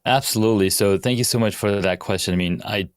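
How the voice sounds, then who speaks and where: A man from Pakistan, a podcast guest, answering questions in a measured, earnest way. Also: on the low side, smooth, no echo, clean studio sound. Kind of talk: casual interview answers.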